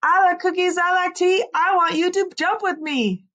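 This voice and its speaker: A woman's voice: very high voice, fairly even intonation, moderate pace, fairly bright tone, voice pushed up. A woman voicing a tiny, sassy, cheerful new schoolgirl in a children's storybook, in a playful singsong chant.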